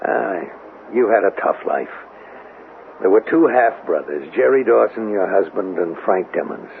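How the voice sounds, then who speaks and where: An actor playing a skeptical, world-weary American police lieutenant in a 1970s radio drama, probing in a matter-of-fact way. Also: male voice, lowish voice, animated, unhurried, vocal fry. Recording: narrow, hissy old radio recording.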